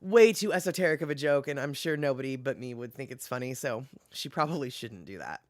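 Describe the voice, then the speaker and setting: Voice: medium pitch, lively intonation, a little fast, dry recording, clean studio sound. A livestream host chatting casually and reading viewer comments aloud, laughing often and musing while scrolling. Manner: frequent fillers like "let's see here".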